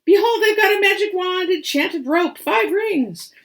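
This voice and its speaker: A cheeky young storybook badger voiced by a woman speaks in a raised, lively voice. It is loyal and eager, declaiming like a small stage magician. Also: very high voice, measured pace, voice pushed up, exaggerated voice. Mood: proud.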